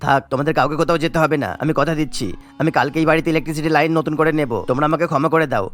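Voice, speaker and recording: A man's voice: fairly high pitch, steady tone, racing, a bit bright, soft background music, lightly acted. A man playing a remorseful older father-in-law in a cartoon story. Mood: frightened, apologetic.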